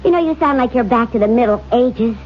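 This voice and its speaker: Actress as a high-voiced, sardonic radio-drama wife. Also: female voice, animated, quick pace, lightly acted. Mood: wry, teasing. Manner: dry, mocking, unruffled. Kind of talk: old-time radio drama acting. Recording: dull, faintly noisy old radio recording.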